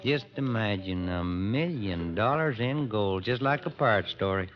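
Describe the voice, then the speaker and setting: Folksy police chief, muffled old radio. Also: male voice, fairly low pitch, animated, measured pace. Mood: matter-of-fact. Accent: American. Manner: folksy, matter-of-fact. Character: plain-spoken, folksy small-town male police chief. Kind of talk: 1940s-50s radio crime drama acting. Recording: dull old radio recording.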